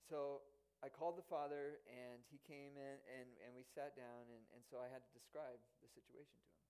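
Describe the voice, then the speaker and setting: A man telling a personal story in a sermon, earnest and halting, with frequent restarts and fillers. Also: medium pitch, fairly even intonation, darkish tone, clean tone, rough recording.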